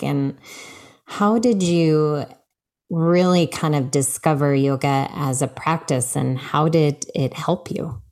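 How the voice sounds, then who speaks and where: A woman's voice: deep voice, a little mellow, no echo, studio quality. A woman hosting a podcast, talking reflectively and earnestly, stressing points by repeating them. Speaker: podcast host. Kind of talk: reflective podcast intro and interview.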